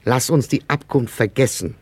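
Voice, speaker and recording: An older flower vendor in a radio drama, gentle and melancholy. He speaks in a measured, lyrical way at a medium pitch. The recording is close and dry. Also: breathy edge, no room sound. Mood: wistful pleading. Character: melancholy, discreet ageing flower seller, gentle and wistful. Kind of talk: German radio play acting.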